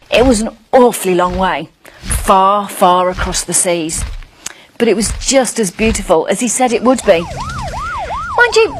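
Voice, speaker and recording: Woman voicing a curious young dragon, very animated storybook narrator. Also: medium pitch, a little hiss or hum, poor audio. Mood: excited. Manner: expressive, wide-eyed storytelling with drawn-out emphasis.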